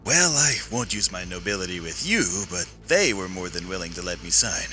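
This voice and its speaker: A man's voice: low-pitched, sing-song, moderate pace, bright, forceful tone, faint music behind, full character voice. An actor playing a confident, insubordinate noble lieutenant in his twenties in a sci-fi radio play, self-assured and formal. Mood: persuasive, assured.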